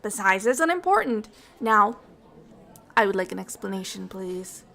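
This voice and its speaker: A woman playing a bubbly, affectionate alien ambassador in an ASMR roleplay, curious about humans, with expressive, earnest and slightly formal speech. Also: mid-range voice, creaky, heavily acted. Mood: puzzled, flustered. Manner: expressive, earnest, slightly formal wording, halting when moved. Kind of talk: ASMR roleplay acting, intimate one-sided dialogue.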